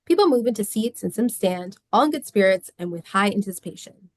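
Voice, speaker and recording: A woman's voice: medium pitch, lively intonation, brisk, no echo. A woman reading scripted stage directions as narrator of a screenplay table read.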